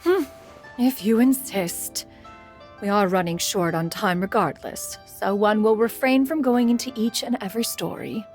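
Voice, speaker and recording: A woman's voice: medium pitch, unhurried, darkish tone, lightly acted. An actress voicing a proud, ancient female immortal in a video game, formal and aloof, calling herself "one". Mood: haughty. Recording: game audio with faint music behind.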